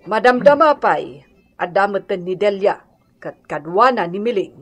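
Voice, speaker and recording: A woman's voice: medium pitch, animated, normal speed, lightly acted. An actress playing a stern, authoritative female village captain in a Filipino radio drama. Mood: matter-of-fact. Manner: authoritative, admonishing.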